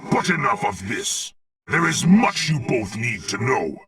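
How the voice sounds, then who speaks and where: A man's voice: medium pitch, very animated, measured pace, pushed and bright, breathy edge, dry recording, far from the mic, full character voice. A man voicing a noble, hearty, defiant alien veteran living in a robotic shell, with grandiloquent, spirited speech for a video game. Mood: urgent, loyal.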